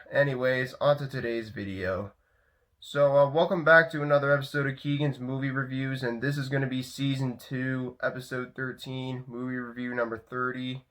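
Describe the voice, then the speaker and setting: A young man talks through a movie review while sick with a head cold, his throat sore. He rambles, restarting sentences and leaning on "so, uh" fillers. His pitch sits in an everyday middle range. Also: fairly bright tone. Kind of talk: casual vlog review.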